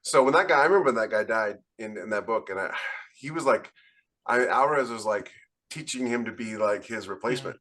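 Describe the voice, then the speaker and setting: A man's voice: on the low side, fairly quick, a bit bright. Man casually explaining on a podcast. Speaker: podcast co-host and author. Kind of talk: casual panel discussion.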